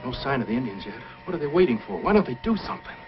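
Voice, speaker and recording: Actor as curt male outlaw sidekick, jumpy, animated pitch swings, old radio drama, muffled vintage sound. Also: racing, music in the background, natural voice. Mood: nervous. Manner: curt, edgy. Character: gruff, jumpy outlaw henchman, impatient and nervous. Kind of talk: old-time radio adventure acting.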